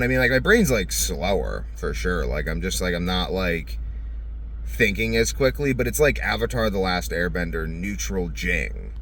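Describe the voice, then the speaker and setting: A man giving a casual, rambling vlog monologue in a blunt, profane, joking manner, often acting out imagined dialogue. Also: deep voice. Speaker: vlogger. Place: vehicle. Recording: noticeable vehicle noise.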